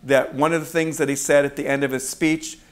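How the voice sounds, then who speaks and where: A man's voice: a little high, little pitch movement, brisk. A middle-aged man, a rabbi, preaching a sermon in a conversational, storytelling way with fillers and self-corrections. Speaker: rabbi of a congregation.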